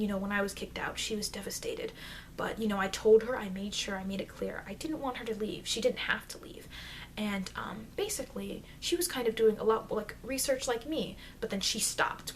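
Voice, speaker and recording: Soft-spoken rambling woman, noisy amateur mic. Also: mid-range voice. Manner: rambling, conversational, frequent "you know". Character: friendly, chatty immortal young-looking female vampire researcher. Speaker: ASMR roleplay performer. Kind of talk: soft-spoken improvised ASMR roleplay.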